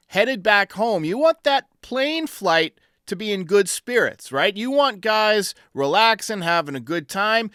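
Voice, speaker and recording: A man's voice: a little high, pushed and bright, smooth, no room sound, clean studio sound. An American man hosting a sports talk show, giving commentary in response to fan calls.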